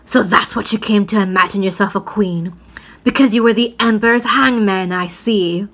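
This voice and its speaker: A woman's voice: medium pitch, normal speed, fairly bright tone, poor audio. A woman voicing a male talking beaver in a storybook reading, blunt, indignant and scornful.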